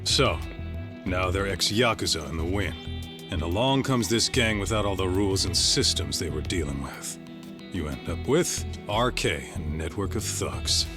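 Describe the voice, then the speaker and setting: A blunt, gruff street tough from a video game, with a low voice. He talks in an offhand, slangy manner while music plays clearly underneath. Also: animated, moderate pace. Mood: matter-of-fact. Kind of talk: English video game dub acting.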